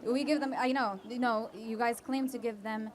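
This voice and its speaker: A young woman with a fairly high voice answers questions in a heated debate. She speaks haltingly, with fillers and restarts. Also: fairly even intonation, a little hiss or hum. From United States. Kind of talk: debate exchange.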